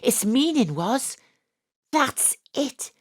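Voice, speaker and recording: An actress playing an eccentric female psychic sleuth, narrating in a deadpan, earnest way. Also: high voice, extremely slow, no echo, studio quality, exaggerated voice. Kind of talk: comic first-person narration. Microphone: home studio microphone.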